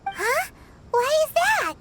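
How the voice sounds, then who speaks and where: Squeaky, very high childlike fairy mascot. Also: female voice, very animated, takes it slowly, a bit bright, heavily acted. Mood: curious, cheerful. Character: small excitable floating fairy child mascot companion. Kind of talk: video game voice acting. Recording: game music behind voice.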